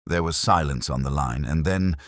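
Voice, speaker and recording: A man playing a weary, melancholy police inspector, narrating a mystery drama in solemn, literary, measured phrases. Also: a bass voice, a bit bright, no room sound, clean studio sound. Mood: somber, reflective. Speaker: narrator of a detective audio drama. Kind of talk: scripted first-person mystery narration.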